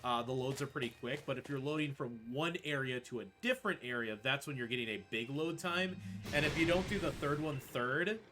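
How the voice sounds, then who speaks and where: A man's voice: mid-range voice, fairly quick, sharp tone, a little hiss or hum. A man giving casual live commentary as a speedrun commentator, in an informal conversational way.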